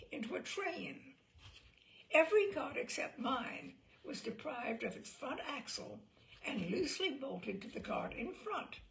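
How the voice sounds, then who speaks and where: A woman reads a story aloud with theatrical relish. Her voice is fairly high and heavily creaky, swooping in pitch. She takes her time, leaving deliberate pauses and leaning on key phrases. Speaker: reader of a story. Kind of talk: dramatic storytelling read aloud.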